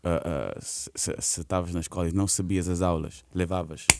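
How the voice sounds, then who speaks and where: A low-voiced man chats casually as a radio studio guest. He laughs easily and drops English phrases into his speech. The sound is close and dry, taken from a studio mic. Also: steady tone, fairly quick, darkish tone, no room sound. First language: Dutch. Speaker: guest singer. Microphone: studio mic with headphones.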